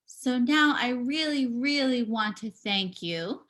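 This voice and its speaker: A woman giving a live spoken interpretation of a signing performer, speaking in halting bursts with pauses and restarts, over a video call. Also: a little high, lively intonation, fairly bright tone. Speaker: sign language voice interpreter.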